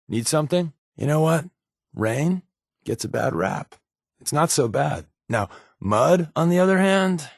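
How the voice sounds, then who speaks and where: A man's voice: on the low side, no echo, clean studio sound. A man voicing a wisecracking, guarded undercover agent companion in a video game, with a wry, sarcastic, flippant delivery. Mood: wry, casual.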